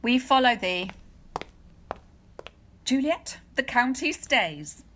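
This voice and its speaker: A woman playing a formal, commanding noble mother in a Shakespearean audio drama, with a brisk, directive manner. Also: high voice, animated, fairly bright tone, a little hiss or hum, full character voice. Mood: urging.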